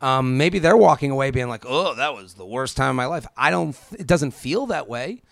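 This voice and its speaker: A man's voice: mid-range voice, moderate pace, vocal fry, dry recording, clean studio sound. A man in his thirties co-hosting a casual advice podcast, asking rhetorical questions and acting out quotes from imagined people. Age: 30s. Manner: animated quoting of imagined speakers, rhetorical questions. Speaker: podcast co-host.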